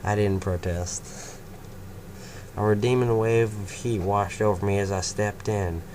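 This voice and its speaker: Low-voiced man casually reading fanfiction. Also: steady tone, a little slow, a little mellow, slight background noise. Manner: casual, breaks off to laugh and comment. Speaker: fanfiction reader. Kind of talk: first-person story reading.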